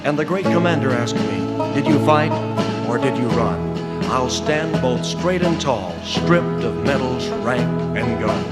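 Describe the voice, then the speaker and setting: A man giving an earnest, measured, rhyming spoken-word recitation that sometimes turns to song. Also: medium pitch, lively intonation. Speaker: singer-narrator of a patriotic record. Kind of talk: solemn spoken-word recitation over music, partly sung. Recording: loud country music bed behind voice. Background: country band music.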